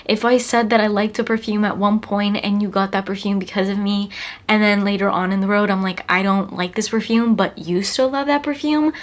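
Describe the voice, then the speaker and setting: A woman's voice: medium pitch, fairly even intonation, fairly quick. A young woman in her twenties with a congested cold voice, chatting casually to camera as a perfume reviewer, emphatic and repeating words for stress. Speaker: perfume reviewer, channel host. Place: small home room, indoors.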